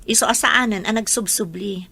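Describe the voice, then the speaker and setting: An actress with an Ilocano accent playing a stubborn, heartbroken young daughter in a radio drama, speaking firmly and emotively. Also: female voice, mid-range voice, normal speed, creaky, sharp tone, slight background noise. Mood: stubborn, exasperated.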